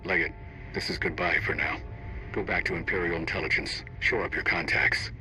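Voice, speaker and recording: An actor playing a confident spymaster in a video game, briefing a covert squad with authority. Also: male voice, sits low, steady tone, brisk, sharp tone, soft background music, poor audio. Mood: cool, firm.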